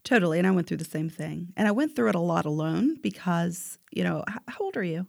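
A woman's voice: lowish voice, animated, fast talker, creaky, no room sound, clean studio sound. A woman in her forties talking emphatically in a casual health chat, often tagging her points with "right?". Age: 40s. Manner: emphatic, keeps asking "right?".

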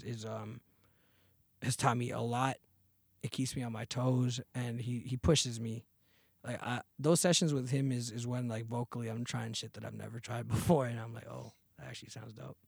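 A man's voice: medium pitch, vocal fry, husky, no echo. Chill guy in his 30s talking slangy on a podcast, mid voice, dry close mic. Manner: relaxed, slangy storytelling. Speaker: podcast guest, singer. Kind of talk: casual interview chat.

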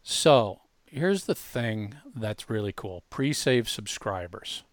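A man co-hosting a casual software demonstration, talking informally and emphatically, straight to the listeners. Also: lowish voice, lively intonation, takes it slowly, no echo, studio quality. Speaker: co-host demonstrating an online tool.

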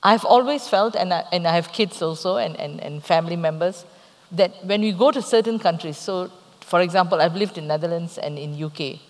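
A woman's voice: fairly low pitch, brisk, darkish tone. A middle-aged woman with a Malaysian accent, speaking candidly and reflectively on a live conference panel. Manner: candid, reflective, with playful asides. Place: conference hall, on stage. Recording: reverberant hall.

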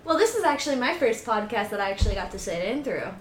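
A young woman with a high, childlike voice, chatting casually and teasing her co-host. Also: very animated, fast, reverberant, slight background noise. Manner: giggly, teasing. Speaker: podcast guest. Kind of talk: casual chat.

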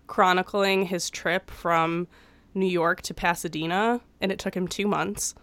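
A young woman in her twenties chats on a podcast while getting over a cold. Her voice sits a little low and comes through smooth and clear. She rambles along with plenty of fillers, close to the mic with a dry sound. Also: steady tone, unhurried, a bit bright, dry recording. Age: 20s. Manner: chatty, many fillers. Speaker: podcast co-host. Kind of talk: casual chat. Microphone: studio microphone.

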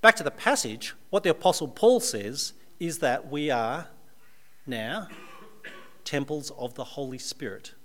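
A man's voice: medium pitch, expressive, measured pace, fairly bright tone. A man with an Australian accent, preaching a sermon to a live audience in a conversational way, with dry humorous asides.

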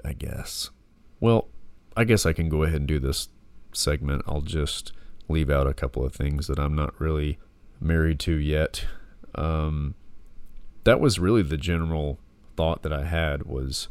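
A man in his thirties talks alone into a close mic, his voice hoarse from illness, very deep and full of gravel. He rambles slowly and reflectively, with plenty of fillers. The recording is bone-dry and clear. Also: dry recording. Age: 30s. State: hoarse from a respiratory infection. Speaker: podcast host. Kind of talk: casual solo monologue.

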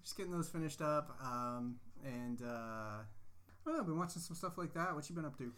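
A man's voice: on the high side, expressive, fairly bright tone. A man co-hosting a podcast in casual chat, just over a cold and slightly nasal, answering hesitantly with many "uh"s and "um"s. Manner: hesitant, laughing, halting with "uh" and "um". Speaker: podcast co-host.

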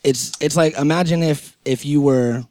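A man's voice: on the high side, normal speed, mellow, no echo, studio quality. A man hosting a podcast, chatting in a rambling, slangy and enthusiastic way. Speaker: podcast host. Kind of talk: casual podcast interview chat.

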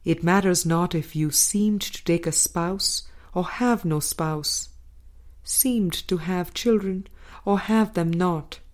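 Woman doing god as a wise tender parent in a parable, lowish n really unhurried, solemn. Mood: solemn, loving. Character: God, calm loving wise parent-like divine teacher.

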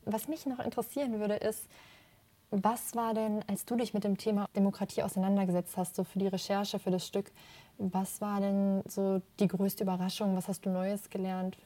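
Mellow-voiced woman interviewer. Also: medium pitch, level tone, moderate pace. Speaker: interviewer, podcast host. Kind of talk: conversational interviewing.

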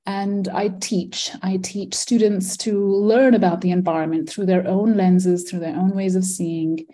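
A middle-aged woman who grew up in Malaysia, speaking as an interview guest, explaining her ideas thoughtfully, with many pauses, ums and self-corrections. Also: lowish voice, dark tone. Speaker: environmental philosopher and design educator.

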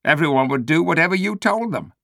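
Man voicing a scheming male ape, high and wheedling, swooping pitch, gravelly edge, storybook. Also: lively intonation, fast, fairly bright tone, dry recording, studio quality. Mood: persuasive. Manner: whiny, persuasive, self-pitying. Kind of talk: storybook read-aloud.

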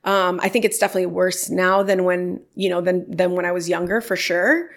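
A woman's voice: fairly low pitch, steady tone, brisk. A woman in her thirties, telling stories in a casual interview and livening them up when quoting people. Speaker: podcast guest.

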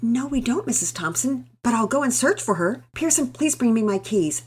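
A steady, kindly father giving measured counsel in a read-aloud story, as a woman narrator takes his part. The voice stays close to the reader's own, slightly husky and unhurried. He speaks with quiet assurance throughout. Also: a little high, voice pushed up. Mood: calm. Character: steady, kind father, middle-aged man.

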